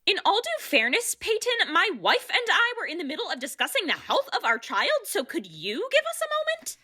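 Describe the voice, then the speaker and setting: A woman's voice: very high voice, wildly swooping pitch, a little fast, pushed and bright, exaggerated voice. An actress playing a snippy, distrustful woman in a radio play, talking in a cutting, sardonic way. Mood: exasperated. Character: snippy, distrustful woman protective of pregnant wife. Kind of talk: radio drama acting.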